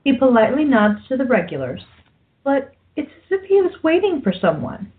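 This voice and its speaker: Woman narrator with lively intonation, phone-like sound. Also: sits high, fairly quick. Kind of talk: scripted narration of a radio play, reading stage directions. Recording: muffled, narrow phone-like audio.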